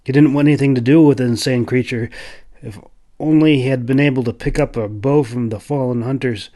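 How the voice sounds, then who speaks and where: A man's voice: medium pitch, fairly even intonation, fairly quick, warm tone. A man reading scripted narration for a fantasy adventure audiobook. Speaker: author narrating his own audiobook.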